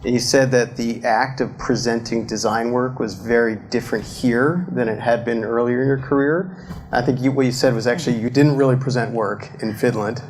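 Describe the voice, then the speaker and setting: A man of middle pitch moderates a fireside chat on stage in front of an audience. He is upbeat and chatty, and his questions are sprinkled with "um" and "uh". A faint hiss sits under the recording. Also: steady tone, brisk. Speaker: interviewer, moderator.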